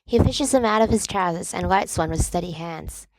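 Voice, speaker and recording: A woman's voice: lowish voice, normal speed, a little mellow, no room sound, low-quality recording. A woman reading a scripted story aloud as an amateur audiobook narrator.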